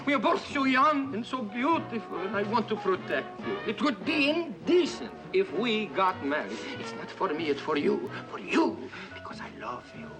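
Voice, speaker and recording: A rowdy joker. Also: male voice, high voice, very animated, normal speed, few pauses. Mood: tender. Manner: broken English, laughing, loud. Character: boisterous Russian man Igor, playful and romantic. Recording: roomy sound with loud music behind.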